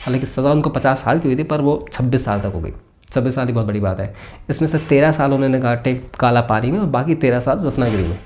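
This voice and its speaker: Man, Indian accent, film reviewer, soft-edged mellow tone, medium pitch, conversational, explanatory, switches into English phrases. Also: quick pace.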